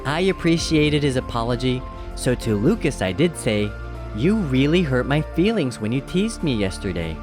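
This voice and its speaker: A storybook reader giving scripted children's narration in an expressive, measured rhyming rhythm. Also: medium pitch. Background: background music.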